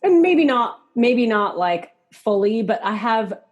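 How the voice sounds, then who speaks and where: A woman's voice: on the high side, big pitch swings, slow pace, dull audio. A woman in her thirties chatting casually as a podcast guest, candid and giggly, peppering her talk with "like". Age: 30s. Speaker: podcast guest, musician and hairstylist. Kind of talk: casual chat.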